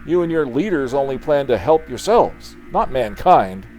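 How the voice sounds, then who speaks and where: A man's voice: medium pitch, very animated, normal speed, darkish tone, soft background music, natural voice. A man voicing a bold, righteous, wisecracking superhero in a punchy, melodramatic comic book reading. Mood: defiant.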